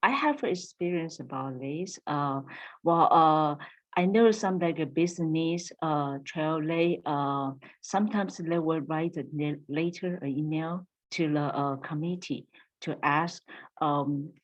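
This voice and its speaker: Woman, English speaker, low voice, halting with many uhs, dull lo-fi audio. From Taiwan. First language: not English. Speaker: language faculty panelist. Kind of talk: Q&A panel anecdote.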